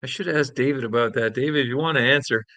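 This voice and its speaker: A man co-hosting a casual livestream, chatting with viewers in a joking, animated way and often chuckling. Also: mid-range voice, quick pace, no echo. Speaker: co-host of a livestream podcast.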